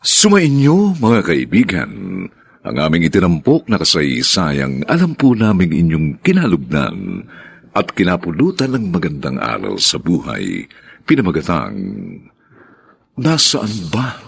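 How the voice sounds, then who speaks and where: A man's voice: low voice, wildly swooping pitch, measured pace, gravelly, fairly bright tone, husky. A man with a Filipino accent giving formal scripted radio drama announcements in a dramatic, ceremonious manner.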